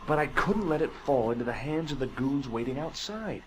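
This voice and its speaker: An actor playing a plucky young American amateur sleuth in a video game, with a dry, wry manner. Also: male voice, medium pitch, animated, moderate pace, noisy background, lightly acted. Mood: wry, composed. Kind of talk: video game narration and dialogue. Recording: music behind.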